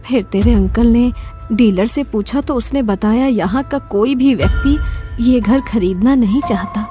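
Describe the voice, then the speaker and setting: A woman's voice: fairly high pitch, mellow, close to an everyday voice. A woman voicing a troubled middle-aged mother in a horror cartoon story. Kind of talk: Hindi horror cartoon dialogue.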